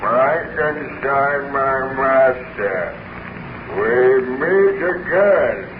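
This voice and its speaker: A tormented, vengeful monster in an old radio play. He declaims very slowly and solemnly, with theatrical menace. The recording is muffled, with heavy static noise. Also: mid-range voice. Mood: menacing. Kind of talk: 1930s radio acting.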